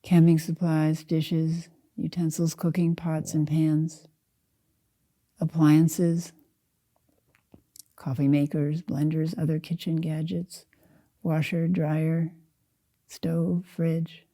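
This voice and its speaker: An older woman leading a guided meditation as a Zen teacher, speaking in a calm, unhurried, measured way with spaced-out phrases. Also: sits low.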